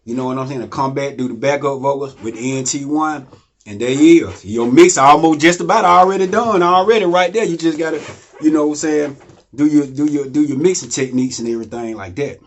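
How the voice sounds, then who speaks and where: Deep-voiced man rambling about studio gear. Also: a little fast. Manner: loose, rambling, repeats phrases, asks "you know what I mean?". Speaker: home studio instructor. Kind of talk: casual how-to talk. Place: home studio room.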